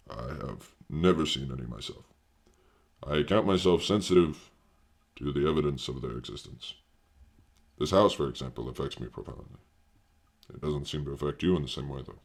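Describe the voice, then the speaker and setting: A man acting in an audio horror drama as a grave, earnest older doctor who hunts apparitions, speaking in a formal, halting, pedantic manner with a gravelly voice. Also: very deep voice, heavily acted.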